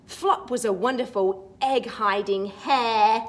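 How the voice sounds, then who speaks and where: A woman storytime teacher reading a picture book aloud to young children, expressive and sing-song, with chatty asides. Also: a little high, takes it slowly.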